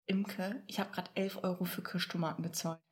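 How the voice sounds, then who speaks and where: A young woman co-hosting a casual podcast chat, loud and laughing, with frequent swearing. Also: on the low side, little pitch movement, normal speed. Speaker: podcast co-host.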